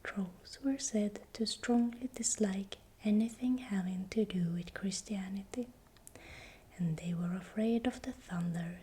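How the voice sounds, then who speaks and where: A woman narrating in ASMR style in a breathy, unvoiced whisper, speaking softly and deliberately. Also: mid-range voice, slight background noise. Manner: slow, deliberate, repeats words softly. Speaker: ASMR creator narrating folklore.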